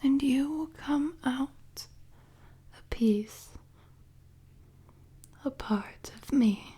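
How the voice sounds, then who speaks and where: A woman playing an unhinged, obsessive female supervillain in an intimate roleplay audio drama, teasing and savoring each threat in a husky half-whisper. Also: high voice, dark tone. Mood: obsessive, seductive menace.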